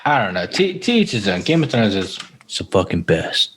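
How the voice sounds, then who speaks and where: Informal dude on a podcast, swears a lot, echoey room. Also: male voice, on the low side, very animated, brisk, fairly bright tone, slight background noise. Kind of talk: casual podcast chat. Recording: echoey, roomy sound.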